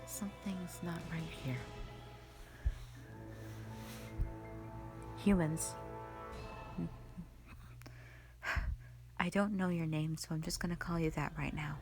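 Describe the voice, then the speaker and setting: A woman playing a grave, wary elder witch sister in a dramatic audio roleplay, speaking solemnly with some hesitation and hushed warnings. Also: fairly low pitch, steady tone, normal speed, music in the background, lightly acted.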